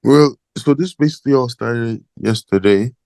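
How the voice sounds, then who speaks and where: A teenage man from Ireland with an Irish accent, calling in as an interview guest over the phone and talking in a hesitant, halting way with many fillers. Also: medium pitch, vocal fry, darkish tone, no echo. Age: teens. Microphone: phone call.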